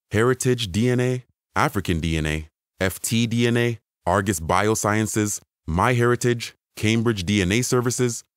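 A man's voice: on the low side, no echo, clean studio sound, natural voice. A man playing an insistent new businessman in an audio story, businesslike and pressing. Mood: listing.

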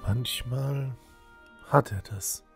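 Loyal young temple novice played by a man: thoughtful, modest, breathy, unhurried, for fantasy audio play. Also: medium pitch, fairly bright tone, soft background music, heavily acted. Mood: earnest, loyal. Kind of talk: audio drama acting.

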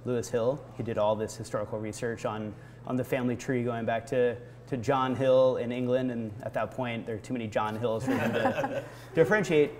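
An American man in his thirties from Vermont giving reflective interview answers, haltingly, searching for words. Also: medium pitch, fairly even intonation. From Vermont, United States. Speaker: brewery founder being interviewed. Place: indoor barrel room of a brewery. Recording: noticeable background room noise.

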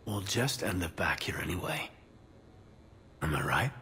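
A man playing a warm, flirtatious soldier in his thirties in a video game, with a soft, breathy North American voice. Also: deep voice, very animated, a little slow, slight background noise, exaggerated voice. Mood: teasing, charming. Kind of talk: video game romance acting. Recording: faint game music behind.

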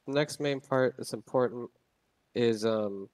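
A man's voice: mid-range voice, unhurried, a little mellow, no echo. Rambling young man explaining sailing. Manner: rambling, many ums and restarts. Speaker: game tutorial narrator. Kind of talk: casual gameplay tutorial.

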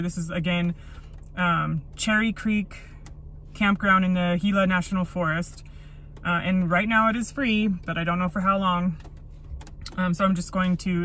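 A woman's voice: lowish voice, fairly bright tone. Woman in her 30s, solo road-trip vlogger, chatty and rambling, phone-mic sound with rain noise behind. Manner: chatty, thinking aloud with many ums. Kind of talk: casual vlog chat. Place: inside a parked jeep at a rainy forest campsite. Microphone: phone camera at arm's length.

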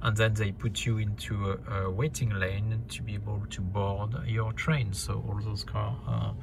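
A man narrating a casual travel vlog in a hesitant, halting way, often repeating words. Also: fairly low pitch, level tone, creaky, background noise. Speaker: vlogger.

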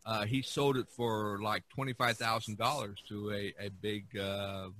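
Bright-voiced man hosting a casual podcast. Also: fairly low pitch, little pitch movement, measured pace. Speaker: podcast host. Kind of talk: casual art history discussion.

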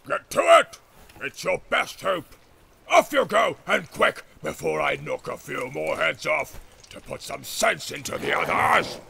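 A man voicing a brutal, jeering orc captain with a gravelly growl, barking and taunting in a fantasy audiobook. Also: fairly high pitch, animated, a little slow, pushed and bright, a little hiss or hum, pitched above the performer's own voice, heavily acted. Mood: barking, furious.

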